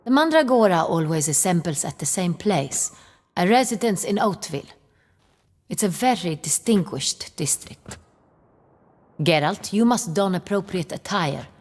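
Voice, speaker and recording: An actress playing a refined, commanding duchess in a video game, speaking in a formal, imperious manner. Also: female voice, lowish voice, lively intonation, unhurried. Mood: instructing. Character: commanding, refined noble duchess, decisive ruler. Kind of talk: scripted video game dialogue. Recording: long hall reverb.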